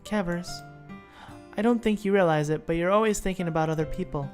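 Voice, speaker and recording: A man voicing a sweet, clumsy teenage girl from a visual novel game, speaking softly and earnestly. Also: high voice, brisk, soft background music, pitched above the performer's own voice, natural voice. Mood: tender.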